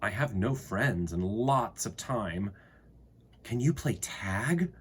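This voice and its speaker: A man's voice: fairly low pitch, animated, takes it slowly, a little hiss or hum. A man voicing a lonely, plain-spoken little mountain girl in a storybook, frank and emphatic. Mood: eager.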